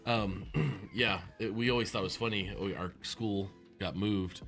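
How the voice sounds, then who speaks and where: A man from Oklahoma hosting a casual interview podcast, chatting in a loose, rambling way. His voice sits low. Faint music plays behind him. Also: fairly even intonation, measured pace, vocal fry, fairly bright tone. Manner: chatty, rambling, laughs easily. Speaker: podcast host.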